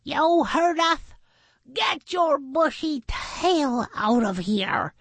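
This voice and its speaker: A whiny, boastful little storybook animal. It complains in a raised, stretched-out voice that wobbles up and down, forever wanting credit. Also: female voice, sits high, expressive, extremely slow, fairly bright tone, dry recording, voice pushed up, exaggerated voice. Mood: indignant. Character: whiny, boastful small animal craving attention.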